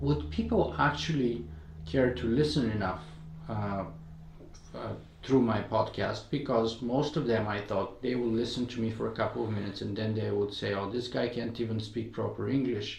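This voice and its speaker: A man's voice: fairly low pitch, brisk, gravelly, a little mellow, echoey room, a little hiss or hum, low-quality recording. A man from Bulgaria with a Bulgarian accent, a guest in an interview who talks earnestly and often checks in with "right?" and "you know". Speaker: podcast creator, guest. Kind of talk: casual interview talk.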